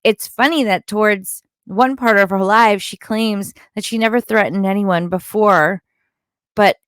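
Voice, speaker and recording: A woman's voice: medium pitch, fairly bright tone, dry recording, clean studio sound. A woman delivering opinionated, partly scripted recap commentary in a critical, disapproving manner, like an online content creator.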